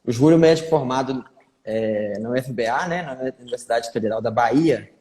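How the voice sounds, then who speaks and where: Doctor hosting a chatty live stream. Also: male voice, medium pitch, fairly quick, warm tone. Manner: chatty, repeats fillers like "né". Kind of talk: casual live-stream interview. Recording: echoey room with long reverb.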